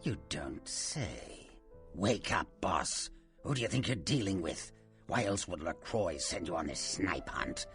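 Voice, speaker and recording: A man's voice: low voice, expressive, unhurried, a bit bright, faint music behind, full character voice. A man playing a sly, taunting Nosferatu vampire and faded film star in a video game, theatrical and teasing, often dropping into a menacing whisper. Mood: menacing, probing. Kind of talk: video game character acting.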